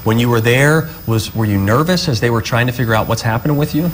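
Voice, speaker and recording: A man's voice: medium pitch, fast talker, a little hiss or hum. A man hosting a conversational television interview, chatty and encouraging as he puts questions to his guests. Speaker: talk show host.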